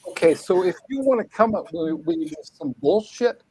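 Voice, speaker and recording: High-voiced man in an angry, profane rant. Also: lively intonation, normal speed, warm tone, low-quality recording. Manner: angry, profane, repeats himself, stumbling restarts. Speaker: guest writer.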